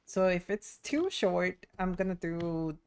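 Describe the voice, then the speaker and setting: A woman's voice: lowish voice, lively intonation, takes it slowly, darkish tone, clear, resonant tone. A woman chatting casually and informally as she livestreams a game, rambling from one thought to the next. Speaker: VTuber game streamer. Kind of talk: casual livestream chat while playing a game.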